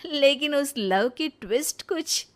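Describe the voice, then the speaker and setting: A woman's voice: high-pitched, lively intonation, takes it slowly. A woman giving scripted narration of a cartoon story as its narrator.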